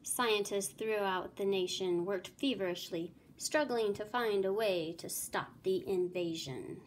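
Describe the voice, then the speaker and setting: A woman giving an expressive read-aloud of a children's storybook, like a teacher, with clear background noise. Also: medium pitch, measured pace.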